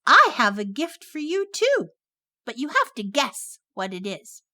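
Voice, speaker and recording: Woman voicing a high, fretful little monkey boy puppet. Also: wildly swooping pitch, unhurried, sharp tone, exaggerated voice. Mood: playful, pleased. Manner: exclaiming, fretting aloud. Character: sweet, anxious young male monkey puppet. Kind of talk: children's puppet show voice.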